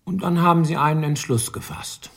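An actor playing a shrewd, dogged police lieutenant in a radio crime drama, probing deliberately with dry irony. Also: male voice, fairly high pitch, fairly even intonation, vocal fry.

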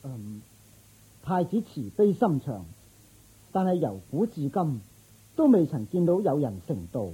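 A man's voice: a little high, very animated, unhurried, pauses often, warm tone, husky, full character voice, poor audio. A man playing a dutiful, agreeable court official in a Cantonese radio drama, earnest and deferential. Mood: respectful.

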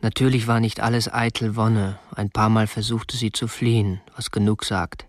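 A man's voice: on the low side, flat delivery, normal speed, no echo, clean studio sound, lightly acted. An actor playing a meek, stiff kidnapper in his twenties in a radio drama, obsessive and self-justifying, in a plain, subdued manner. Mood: matter-of-fact, self-justifying. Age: 20s. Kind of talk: radio drama acting, confessional first-person narration.

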